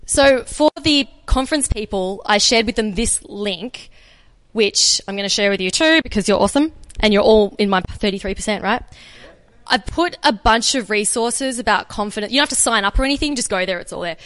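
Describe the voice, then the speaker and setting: An Australian woman giving an energetic, chatty conference talk and answering questions. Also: mid-range voice, fairly quick, in a large hall. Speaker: conference speaker. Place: conference room.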